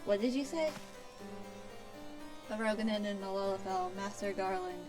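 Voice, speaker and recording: A woman's voice: mid-range voice, moderate pace. A woman streamer reading fantasy game story dialogue aloud in a theatrical, formal delivery. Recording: music playing behind the voice.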